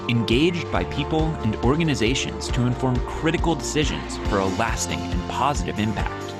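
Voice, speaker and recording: A man doing polished, scripted narration for an explainer video, played back during an online talk. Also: medium pitch. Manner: polished, measured delivery. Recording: played over a video call with loud music.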